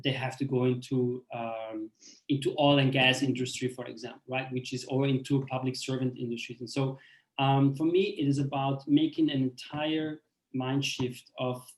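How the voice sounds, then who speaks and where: A man in his forties who grew up in Austria, telling his own story on a panel in a halting way, with many restarts and fillers. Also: mid-range voice, flat delivery. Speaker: speaker on a panel. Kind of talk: personal story in a talk. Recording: roomy echo.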